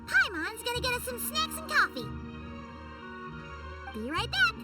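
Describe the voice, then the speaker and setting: Squeaky, excitable tiny fairy girl. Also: a little slow, far from the mic, heavily acted. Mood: flustered, eager. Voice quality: squeaky, childlike. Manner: dramatic, refers to herself by name. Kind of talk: video game voice acting. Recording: background game music.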